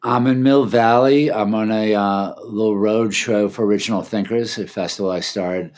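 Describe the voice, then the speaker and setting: A man's voice: fairly low pitch, moderate pace. A man in his fifties from Washington, D.C., a filmmaker, talking casually and hesitantly in a video call interview. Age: 50s. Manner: relaxed, hesitant, many fillers. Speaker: filmmaker and festival founder, guest.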